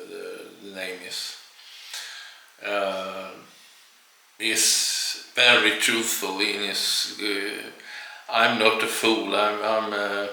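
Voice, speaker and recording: A man's voice: fairly low pitch, bright, forceful tone. A man from Sweden with a Swedish accent, speaking English as a second language. He gives earnest personal commentary and keeps stopping to search for words. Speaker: vlogger. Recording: very echoey room.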